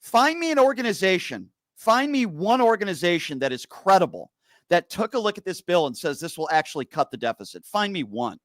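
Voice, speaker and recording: A man's voice: sits high, very animated, a little fast, fairly bright tone, no echo. Pressing argumentative man host. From Connecticut. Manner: pressing, argumentative, rhetorical questions. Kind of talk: heated political debate.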